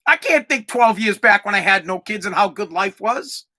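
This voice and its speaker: A man in his forties hosting a comedic solo podcast, ranting in a profane, rambling way with lots of stammered restarts and bursts of shouting. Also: high-pitched, lively intonation, moderate pace. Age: 40s. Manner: exaggerated, swings into loud shouting. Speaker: podcast host.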